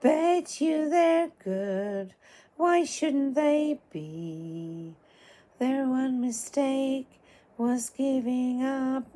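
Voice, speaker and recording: A tired, hoarse woman voicing a hopeful, tender orphan girl from a storybook in a soft, wistful manner. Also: sits high, voice pushed up, full character voice.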